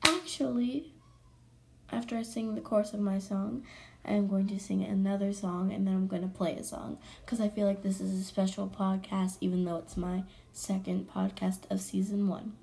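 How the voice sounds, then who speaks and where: A young woman hosting her own podcast, chatting casually and rambling with self-corrections, her voice hoarse from allergies. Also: medium pitch, fairly even intonation, moderate pace, warm tone. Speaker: podcast host. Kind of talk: casual solo podcast chat.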